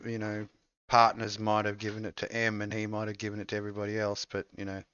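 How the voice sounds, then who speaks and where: A man's voice: lowish voice, completely flat delivery, fast, fairly bright tone, smooth, dry recording, lo-fi sound. A man co-hosting a casual, absurd chat show, joking dryly with mock-dramatic asides. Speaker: co-host. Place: home setup, streamed show.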